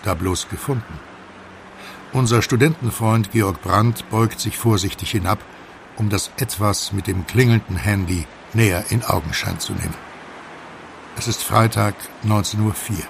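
A man's voice: on the low side, moderate pace, vocal fry, a little hiss or hum. An actor playing a sardonic male storyteller, delivering scripted narration for a mystery audio drama. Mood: sardonic, suspenseful.

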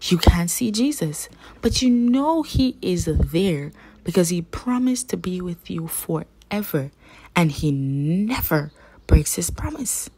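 A woman's voice: on the low side, very animated, takes it slowly, warm tone, husky. A woman reading a children's religious picture book aloud, phrasing simply and carefully for young listeners. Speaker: read-aloud storyteller for children.

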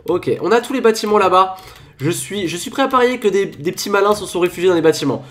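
High-voiced man giving playful game commentary. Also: expressive, quick pace. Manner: chatty, playful, bursts into laughing shouts. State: stuffy with a cold. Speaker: gaming YouTuber. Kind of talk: excited Minecraft commentary.

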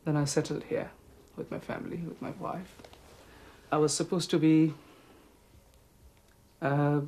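A middle-aged man from Bangladesh with a Bangladeshi accent, answering interview questions in a measured, hesitant way. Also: a little high, little pitch movement, dark tone, a little hiss or hum. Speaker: health promotion officer interviewee.

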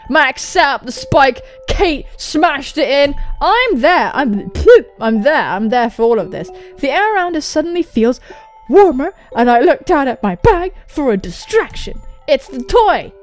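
High-pitched, animated young British woman. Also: a little slow. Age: young adult. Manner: excitable, sweary, exclaiming. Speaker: gaming video host. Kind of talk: playthrough commentary mixed with reading aloud. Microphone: close studio mic. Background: music.

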